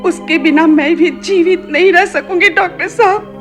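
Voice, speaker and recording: An actress playing an anguished middle-aged widowed mother in a Hindi radio play, halting and polite in her sorrow. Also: very high-pitched, music in the background. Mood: anguished pleading.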